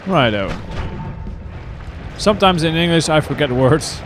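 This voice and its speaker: A man's voice: a little high, animated, unhurried, background noise. A man streaming a video game live, chatting casually and laughing as he answers his chat. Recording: clear game music under the voice. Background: game music.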